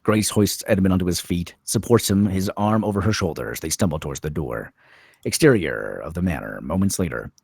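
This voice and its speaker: A man reading screenplay directions as a dramatic narrator, in clipped, suspenseful phrases with pauses for effect. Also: low voice, expressive, gravelly, darkish tone, slightly rough, dry recording, studio quality. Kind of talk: dramatic scripted narration at a table read.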